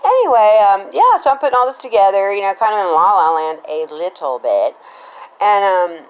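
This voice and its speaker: A woman's voice: mid-range voice, sing-song, normal speed, clear, resonant tone, lo-fi sound. A middle-aged American woman telling a personal story casually, in a rambling, conversational way, often checking in with the listener. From Cincinnati, Ohio, United States.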